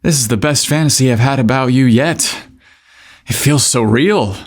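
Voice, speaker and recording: A man voicing an anxious, smitten mailroom guy in an audio romance story, flustered and hurried. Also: medium pitch, close to an everyday voice. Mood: giddy.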